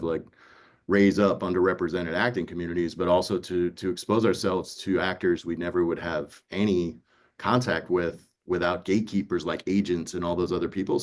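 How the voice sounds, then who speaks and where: A man talking casually and earnestly as an audiobook producer in a panel conversation, explaining things with plenty of "you know" and "like". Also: low voice.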